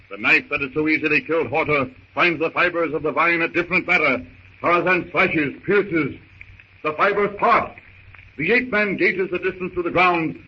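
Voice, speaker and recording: A man's voice: a little high, slightly rough, lo-fi sound. A man narrating a 1930s radio adventure serial in a theatrical, urgent manner with emphatic short phrases, on an old radio recording.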